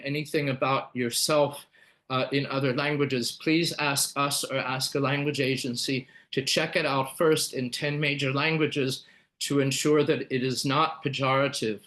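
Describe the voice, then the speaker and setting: A man's voice: medium pitch, fairly bright tone. A man presenting a live webinar, explaining with frequent fillers and restarts.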